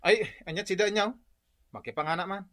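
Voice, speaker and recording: A man's voice: high-pitched, fairly quick, sharp tone. A man playing a lazy, stubborn, jobless husband in a radio comedy-drama, with an Ilocano accent. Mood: sly.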